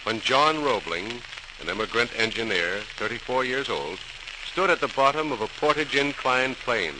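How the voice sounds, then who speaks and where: A man's voice: mid-range voice, animated, normal speed. A man narrating a scripted 1940s radio program as announcer, formal and dramatic. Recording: noisy old radio transcription.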